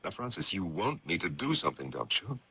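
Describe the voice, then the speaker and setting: An earnest, excitable magazine editor, with a low and very animated voice. He is protective and theatrical, sliding between hushed and emphatic in an old radio play with a dull, lo-fi sound. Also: fairly quick, slightly rough, full character voice. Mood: anguished, indignant. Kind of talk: old-time radio drama acting. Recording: dull lo-fi radio drama recording.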